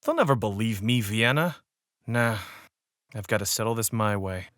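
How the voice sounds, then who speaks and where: A man's voice: lowish voice, expressive, normal speed, a bit bright, no echo. A man playing a roguish pony petty crook in an audio drama, cocky and wry but loyal, with a streetwise way of talking. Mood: earnest.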